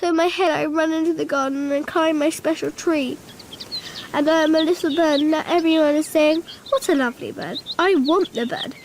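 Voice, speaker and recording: A woman's voice: high voice, brisk, a little hiss or hum. A woman playing an anxious, wishful little girl who narrates her thoughts in a radio drama in plain, repetitive childlike phrases. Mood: distressed.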